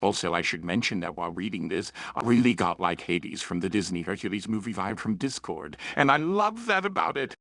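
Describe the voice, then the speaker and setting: Lively, gravelly man reviewing stories. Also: deep voice, a little fast, hardly any pauses, dry recording. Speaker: story reviewer. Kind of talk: scripted review narration.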